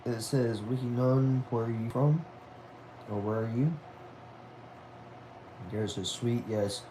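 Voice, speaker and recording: A man's voice: mid-range voice, fairly even intonation, slow pace, dark tone, a little hiss or hum, poor audio. A man of about twenty chatting casually on a livestream at home, reading viewer messages aloud in a laid-back, talkative way, dropping "y'all" and "man". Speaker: livestream host.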